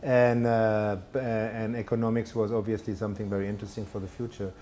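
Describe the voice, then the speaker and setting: Man in his fifties, dark mellow voice. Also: lowish voice, little pitch movement, fast, slight background noise. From Germany. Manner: hesitant, self-deprecating, many fillers and restarts. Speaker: guest, telecom executive. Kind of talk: conversational interview. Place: studio set with brick wall, indoors.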